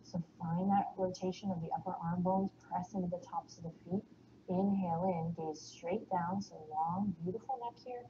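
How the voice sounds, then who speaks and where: A woman with a slight Texan accent guiding a yoga class, giving calm, soothing cues and breathing the exhales out in whispers. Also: lowish voice, normal speed, highs cut off, background noise. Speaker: yoga instructor.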